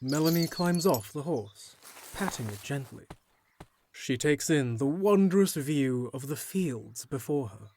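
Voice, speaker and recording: A man's voice: on the high side, animated, unhurried, mellow, slight background noise. A man reading scripted, descriptive narration for an audio drama.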